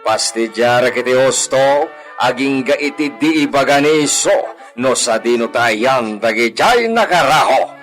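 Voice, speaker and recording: A man acts a scheming landowner in a radio drama. His delivery is slow, grave and deliberate, with dramatic weight, over faint music. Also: mid-range voice, expressive, a bit bright. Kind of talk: Ilocano radio drama acting.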